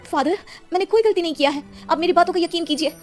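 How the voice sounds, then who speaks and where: An innocent young nun from a cartoon drama, acted by a woman, pleading earnestly. Her voice is very high and quick, with faint music behind her. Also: darkish tone. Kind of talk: Hindi cartoon drama voice acting.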